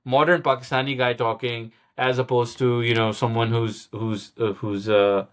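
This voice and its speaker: A middle-aged man with an ordinary mid-pitched voice, speaking as a guest in a relaxed interview. He talks in a halting way, restarting and repeating words as he gathers his thoughts, and often gives a small laugh at himself. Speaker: guest musician. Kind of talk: casual interview storytelling.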